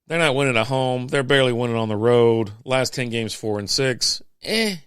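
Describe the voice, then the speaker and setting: A man hosting a podcast, reading out sports standings in a casual, chatty way with offhand quips, his voice going hoarse. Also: medium pitch, lively intonation, normal speed, no echo, studio quality. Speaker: podcast host.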